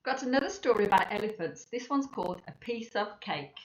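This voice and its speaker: A woman's voice: medium pitch, animated, fairly quick. A woman reading a children's story aloud expressively, with chatty asides to young listeners. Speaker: storybook reader for schoolchildren. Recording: echoey room, slightly dull sound.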